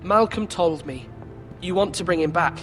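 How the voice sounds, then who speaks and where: A man acts out a young lord new to power, stern and commanding. The voice sits high, and it rings through a hall with a long reverb. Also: normal speed, music in the background, close to an everyday voice. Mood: uncertain. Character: young untested male lord, firm but unsure.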